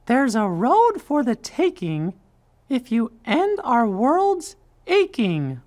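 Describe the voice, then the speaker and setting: A man voicing a small, kindly cartoon mouse, gentle and earnest, in an animated game cutscene. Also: very high-pitched, takes it slowly, full character voice. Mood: earnest, puzzled.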